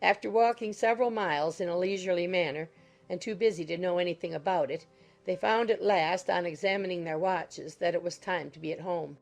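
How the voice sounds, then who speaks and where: Female audiobook narrator, lowish pitch, noticeable vocal fry, literary scripted reading, soft music underneath. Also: brisk.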